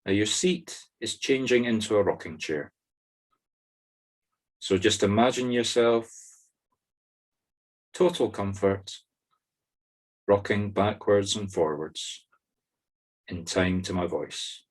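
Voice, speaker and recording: A Scottish man leading a guided meditation on a video call, soothing, with pauses between short, simple instructions. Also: lowish voice. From Scotland. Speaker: spiritual medium leading a meditation group. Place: online video-call circle.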